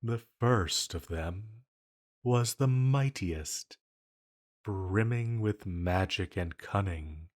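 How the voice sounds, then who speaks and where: A man voices an ancient, banished male entity in an intimate audio drama. His voice sits low and he takes his time over every phrase. He is flirty and a little self-conscious, a lonely villain who hesitates as he muses. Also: a little mellow. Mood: musing, hesitant. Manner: flirtatious, hesitant, unhurried musing. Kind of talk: intimate scripted roleplay audio.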